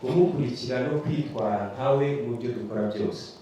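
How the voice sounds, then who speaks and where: A man's voice: medium pitch, brisk, dark tone. A man interpreting a sermon live, steadily rephrasing each phrase as he goes. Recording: distant mic, echoey hall, faint noise.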